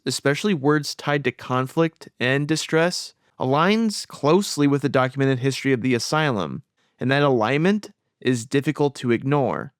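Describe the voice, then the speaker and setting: Smooth, dry-voiced male narrator. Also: mid-range voice, no room sound. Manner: measured, explanatory. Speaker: video commentator. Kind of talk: scripted voice-over narration.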